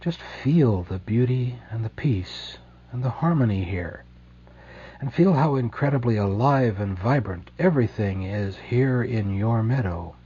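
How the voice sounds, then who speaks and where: A man leading a guided meditation in a slow, soothing, gentle way, leaving deliberate pauses between phrases. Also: mid-range voice, lively intonation, slightly rough, dull audio.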